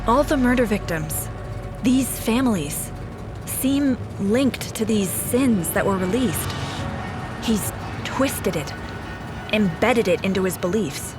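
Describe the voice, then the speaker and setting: A woman's voice: mid-range voice, expressive, slow, music in the background, low-quality recording. An actress playing a determined, hot-blooded female police investigator in an audio drama, intense and defiant. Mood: grave.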